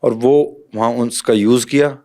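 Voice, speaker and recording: A man's voice: mid-range voice, fairly even intonation, measured pace, a little mellow. A man speaking as a police officer guest in an interview, explaining things step by step with direct examples to the listener.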